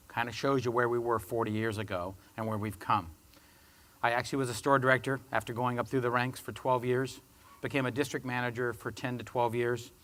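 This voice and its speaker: Businesslike panelist with a bright voice. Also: male voice, medium pitch. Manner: businesslike, steady presentation. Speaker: corporate sustainability director, panelist. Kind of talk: self-introduction at a panel.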